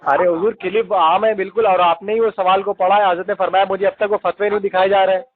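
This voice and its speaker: A man's voice: high-pitched, little pitch movement, quick pace. A man calling by phone, deferential yet insistent as he presses a complaint with repeated honorifics. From Kanpur, northern India. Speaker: caller. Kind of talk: phone argument, pleading complaint. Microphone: telephone. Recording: tinny lo-fi phone call recording.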